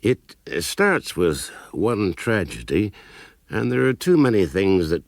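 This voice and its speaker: An American actor playing a grave, world-weary senior CIA officer who confides his story in a gravelly voice. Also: low voice, big pitch swings, a little slow, dry recording, studio quality, heavily acted. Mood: grave, confiding. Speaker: actor narrating in character. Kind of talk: confessional first-person radio drama narration.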